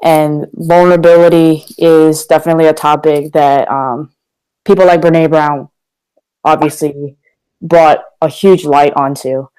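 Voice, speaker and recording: A man's voice: a little high, steady tone, unhurried, a little mellow, clear, resonant tone, rough recording. A young man from Korea, a guest talking candidly and energetically in a casual podcast interview. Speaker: guest, motivational speaker.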